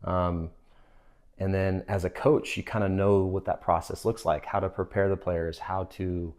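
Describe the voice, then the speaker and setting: A man's voice: low voice, flat delivery, moderate pace, a little mellow. A middle-aged man with an American accent, reflecting in a casual interview as a sports coach. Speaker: assistant soccer coach.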